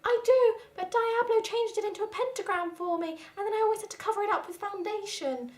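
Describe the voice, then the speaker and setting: A woman playing an anguished gothic teenage boy wizard, melodramatic and yelling, in an amateur fan fiction dramatization. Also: extremely high pitch, fairly quick. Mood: urgent. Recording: echoey room.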